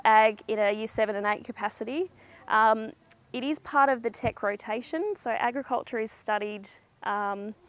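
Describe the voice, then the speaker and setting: A woman with an Australian accent and a slightly high voice. She speaks like a teacher introducing a place to visitors, informally and straight to camera. She is recorded outdoors on a clip-on mic. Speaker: high school agriculture teacher. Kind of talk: informal virtual tour presentation to camera. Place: outdoors on a school farm. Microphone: clip-on lapel mic.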